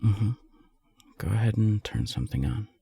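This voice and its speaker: A man playing a lovestruck, self-deprecating non-binary friend in an intimate romantic roleplay audio, speaking softly and haltingly in a breathy, husky voice. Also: on the low side, expressive, no room sound, heavily acted. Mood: sleepy, playful. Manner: hesitant, halting, soft-spoken.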